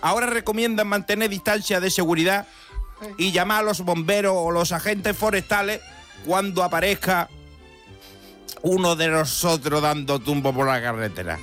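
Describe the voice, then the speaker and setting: A man's voice: high-pitched, moderate pace, a bit bright, soft background music. A man voicing a boozy, belligerent French deer who argues his case with indignant, boastful storytelling in a comic radio monologue.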